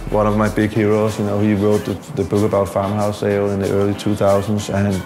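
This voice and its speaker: A man's voice: lowish voice, monotone, a little fast. A man in his thirties, a contract brewer, talking enthusiastically in an interview with many restarts. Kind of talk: casual interview talk. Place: indoors by a large window. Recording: music under the voice.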